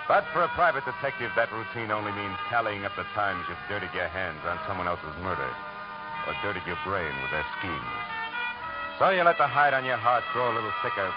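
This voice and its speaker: An actor playing a hard-boiled private detective in a 1940s radio drama, dry and world-weary. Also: male voice, lowish voice, close to an everyday voice. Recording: muffled old radio with music.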